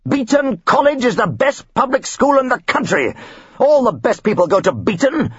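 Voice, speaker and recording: A man's voice: sits high, big pitch swings, moderate pace, slightly rough, no echo, dull audio, pitched above the performer's own voice, heavily acted. A man voicing a pompous, tyrannical upper-class father who blusters and screeches. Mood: outraged. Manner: pompous and shouting.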